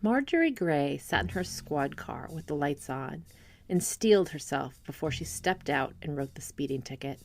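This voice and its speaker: A woman's voice: deep voice, expressive, normal speed, slight background noise. A woman reading a short story aloud as scripted narration. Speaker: author reading their own short story.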